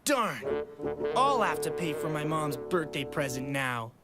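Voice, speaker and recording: Slow-talking pushy cartoon boy bully. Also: low voice, sing-song, loud music behind the voice. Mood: grumbling. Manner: taunting, demanding. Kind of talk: children's cartoon voice acting.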